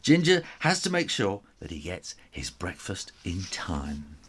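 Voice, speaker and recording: A man reading a children's story aloud in an expressive, animated style with conversational asides. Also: deep voice, a little slow, husky. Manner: expressive, with chuckles and spoken asides.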